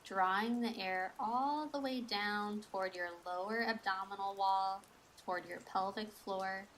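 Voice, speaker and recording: Female meditation guide, unhurried and soothing, mid-range pitch, measured instructional delivery, light ambient noise behind her. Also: fairly bright tone, poor audio.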